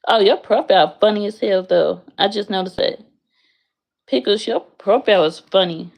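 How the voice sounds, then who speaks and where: Young woman streamer, casual and blunt, with a gravelly edge. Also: medium pitch, normal speed. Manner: blunt, frank, swears freely. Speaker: livestreamer answering chat. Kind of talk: casual livestream chat. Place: her bedroom, in bed.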